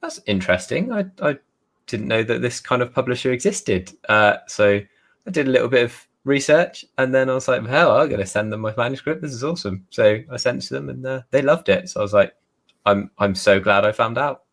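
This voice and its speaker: A man from Somerset with a softened West Country English accent, chatting casually as an interview guest, self-deprecating and trying to sound well spoken. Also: medium pitch, lively intonation, a little fast. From Somerset, England. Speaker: guest author.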